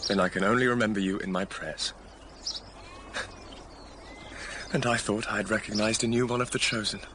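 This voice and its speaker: An actor playing a stern, pious young clergyman in a period radio drama, solemn, commanding and measured. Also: on the low side, fairly even intonation, background noise, natural voice. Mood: stern reproach. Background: dramatic underscore music.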